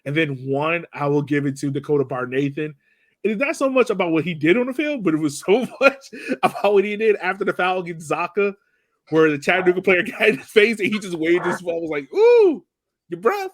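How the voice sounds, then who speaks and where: A man's voice: sits high, animated, fairly quick, no room sound. A man co-hosting a casual sports podcast, chatting about a game in a playful, joking way. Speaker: podcast co-host.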